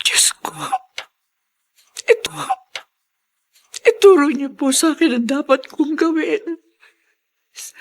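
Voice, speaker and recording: A Filipino actress playing an anguished, guilt-ridden middle-aged wife and mother in a melodramatic radio drama. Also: sits high. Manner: melodramatic, distressed.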